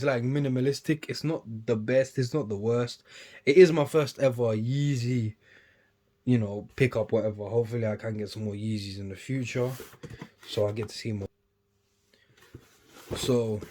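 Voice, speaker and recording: A young man, casually chatting and rambling through an unboxing on his vlog, often trailing off quietly between livelier bursts. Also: mid-range voice. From United Kingdom. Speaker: sneaker YouTuber.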